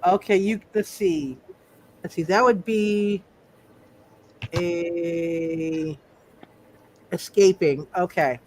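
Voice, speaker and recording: A game master casually refereeing a tabletop roleplay session, thinking aloud in repeated, halting phrases. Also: mid-range voice, steady tone, a little mellow, slight background noise, rough recording.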